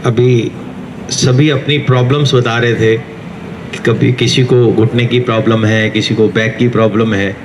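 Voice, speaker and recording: A man's voice: mid-range voice, fairly even intonation, unhurried, echoey room, noisy background. A man giving a short, polite and formal thank-you speech. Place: outdoor park.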